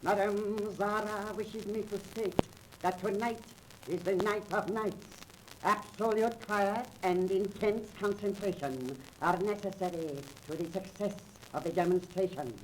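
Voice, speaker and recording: An actor playing a solemn, ceremonious seance house attendant, formal and measured, in a 1930s radio drama. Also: male voice, high-pitched, steady tone. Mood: grand, ceremonious. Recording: noisy 1930s radio transcription.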